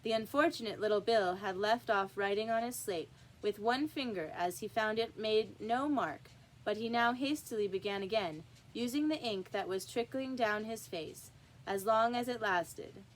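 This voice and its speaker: A woman reading a children's story aloud in an expressive narrating style. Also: medium pitch, moderate pace, poor audio. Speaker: storybook reader.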